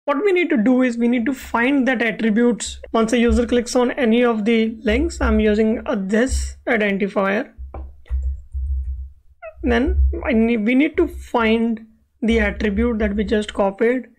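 A woman's voice: fairly high pitch, unhurried, darkish tone. A woman explaining code step by step as the instructor in a screencast tutorial. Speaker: web accessibility instructor.

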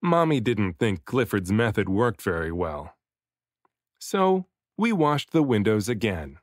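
Animated man reading a children's storybook. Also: fairly low pitch, a little slow, dry recording, clean studio sound. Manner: expressive, varies delivery for emphasis. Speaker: children's book reader, dad and voice actor. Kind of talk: read-aloud storybook narration.

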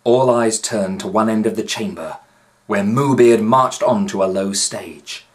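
A man's voice: on the low side, measured pace. A man, narrating a children's story expressively and dramatically. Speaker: author reading aloud. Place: small home room, indoors.

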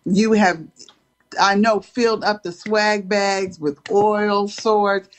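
A woman's voice: medium pitch, little pitch movement, slow pace. A woman co-hosting a faith podcast, enthusiastic and thankful as she speaks to her guest. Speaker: podcast co-host. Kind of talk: casual chat with preaching tone.

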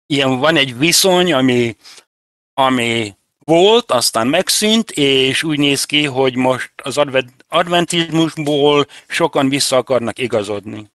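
An older man, an interview guest, explaining things conversationally in measured, separate phrases. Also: medium pitch, fairly bright tone, no room sound, clean studio sound. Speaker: interview guest, church representative.

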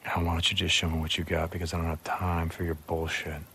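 Game lead: tough loner biker. Very low gruff voice, confrontational, faint noise behind. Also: male voice, level tone, quick pace, sharp tone. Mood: surly. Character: surly, tough loner biker drifter, man. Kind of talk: video game cutscene acting.

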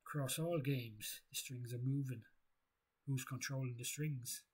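Guy rambling philosophically, kinda husky, stops and repeats himself a lot. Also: mid-range voice, fairly even intonation, darkish tone. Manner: halting, repetitive, thinking aloud. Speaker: video creator.

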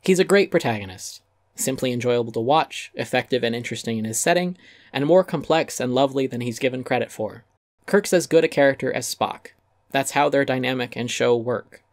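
A man's voice: medium pitch, a little fast. A man reading a scripted essay aloud as narrator, articulate and emphatic.

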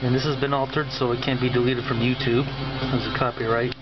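A man's voice: mid-range voice, little pitch movement, fast talker, heavy background noise. A man giving a casual hands-on demo of a modified gadget, talking informally into a handheld camera indoors. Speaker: hobbyist demonstrating a modified gadget. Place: indoor room. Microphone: handheld camera mic. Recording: dull lo-fi camera, loud music behind. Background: music and playback from the gadget.

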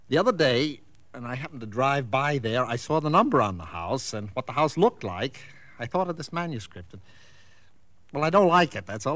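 An actor playing a troubled real estate agent, stammering and earnest, in 1940s radio drama style. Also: male voice, mid-range voice, fairly bright tone, no room sound. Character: nervous, troubled male real estate agent confiding in friend.

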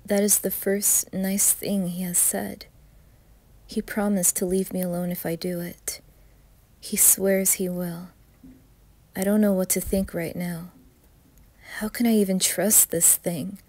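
A woman performs a first-person creepypasta as its troubled narrator. Her medium-pitched voice is breathy and husky, with an intense, anguished delivery. The sound is dry, with little room on it. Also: level tone, a little slow, pauses often, dark tone, no echo. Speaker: horror story narrator.

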